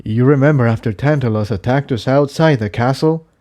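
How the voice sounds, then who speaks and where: A man's voice: medium pitch, a little fast, darkish tone, voice pushed up, lightly acted. Earnest, troubled male pony, read by a man. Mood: troubled, explaining.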